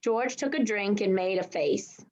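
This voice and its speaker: A woman's voice: mid-range voice, a little slow, darkish tone, poor audio. A woman reading a children's bedtime story expressively, with little asides of her own.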